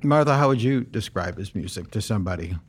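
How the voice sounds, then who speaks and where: A man's voice: fairly low pitch, animated, fast, slightly rough. A middle-aged man interviewing a singer, chatty and full of compliments, with frequent ums. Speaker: radio interviewer.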